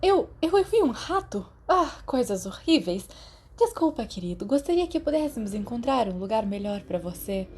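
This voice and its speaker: A high-voiced, motherly school nurse from an audiobook, acted out by a woman. She is fussy and easily startled, fretting and scolding with tenderness. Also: sing-song, normal speed, a little mellow, a little hiss or hum. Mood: flustered, startled. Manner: fretful, scolding yet tender. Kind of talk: audiobook character voice in Brazilian Portuguese.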